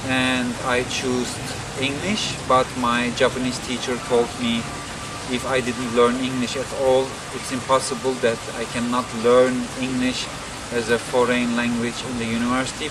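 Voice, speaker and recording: Turkish-accented guy talking slow and hesitant, flat voice, mic kinda far, loud hum behind. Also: mid-range voice. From born in Germany, raised in Turkey. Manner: halting, many fillers and restarts. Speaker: video lesson creator. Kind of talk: casual personal talk.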